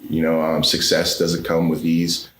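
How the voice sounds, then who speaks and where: A man in his forties from California, a guest speaking earnestly in a casual interview, with halting fillers and restarts. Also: a bass voice, monotone, a bit bright. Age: 40s. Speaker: podcast guest. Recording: echoey room, faint background noise.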